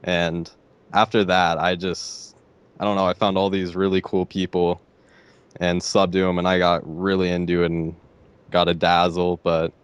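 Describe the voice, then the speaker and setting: A teenage boy with a deep voice, answering interview questions hesitantly and filling pauses with "like" and "I don't know.". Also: little pitch movement, smooth. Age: teens. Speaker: interviewee, gamer.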